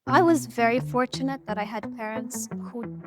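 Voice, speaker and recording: A woman's voice: on the high side, moderate pace, over loud music. A woman from Ethiopia co-hosting a podcast in casual, reflective conversation, laughing often. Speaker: podcast co-host.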